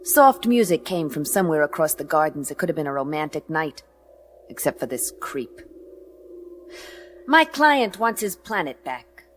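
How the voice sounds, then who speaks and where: A woman's voice: sits low, very animated, normal speed, soft background music. A woman playing a tough, hard-boiled space detective, narrating a radio play in clipped, sardonic noir style. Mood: moody, wry, then wry, tough. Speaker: lead narrator.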